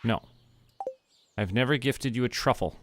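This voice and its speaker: A man from Canada giving casual, relaxed commentary as he streams a game, often talking to himself. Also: mid-range voice, moderate pace, no echo. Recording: music behind the voice. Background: music.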